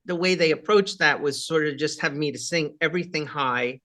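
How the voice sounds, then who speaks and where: A rock singer with a high voice, chatting casually in an interview and rambling with many fillers and asides. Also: male voice, a little fast, sharp tone.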